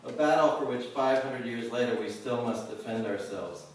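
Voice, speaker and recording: A man reading his own poetry at a live event, measured and deliberate in the poems and offhand in between. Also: medium pitch. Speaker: poet reading own work. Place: live reading venue, indoors. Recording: roomy echo, distant mic, faint noise.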